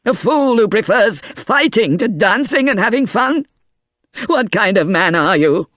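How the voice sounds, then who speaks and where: A man reading an audiobook, voicing a frantic, grieving old grandfather in high, cracking outbursts. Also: big pitch swings, unhurried, no echo, studio quality. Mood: bitter.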